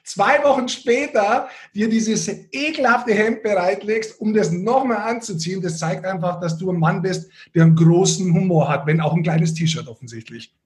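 A man's voice: high voice, normal speed, few pauses. A man co-hosting a podcast, chatting casually with a teasing, ironic manner. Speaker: podcast co-host. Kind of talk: casual chat.

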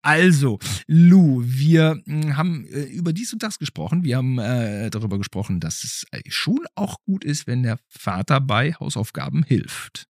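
A man hosts a casual podcast. His voice has a slightly rough, husky grain and moves animatedly up and down in a close, dry sound. He talks playfully, with pauses and questioning asides. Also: medium pitch, big pitch swings, darkish tone, no room sound. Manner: playful, hesitant phrasing with rhetorical tag questions. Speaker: podcast host. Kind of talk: casual conversational podcast interview.

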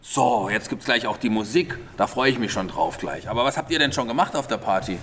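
A man's voice: medium pitch, lively intonation, fairly quick, fairly bright tone, a little hiss or hum. A man playing along as host of an improvised audio play with children, asking short, encouraging questions. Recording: long hall reverb.

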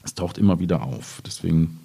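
A man's voice: deep voice, brisk, creaky, warm tone, husky. A man speaking as a poet in a spontaneous literary conversation, reflective and often searching for his words. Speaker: poet in discussion.

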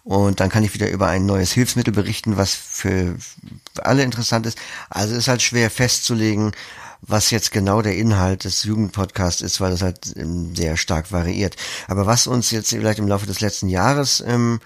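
A man's voice: lowish voice, steady tone, moderate pace, creaky, no echo. A young man giving structured, explanatory interview answers as a podcast guest, working through examples.

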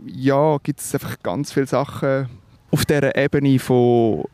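Swiss German musician guest, mid-pitched man, searching his words, dry close mic. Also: fairly even intonation, a little slow, darkish tone, smooth, no echo. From Switzerland. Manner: thoughtful, hesitant, many fillers and restarts. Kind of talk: reflective interview talk.

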